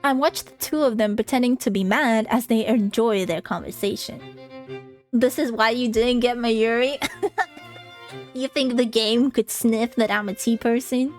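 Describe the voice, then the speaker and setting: High-voiced woman streamer reading aloud over music. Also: a little slow, a little mellow. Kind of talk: expressive read-aloud with casual commentary. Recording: music playing behind the voice. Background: game music.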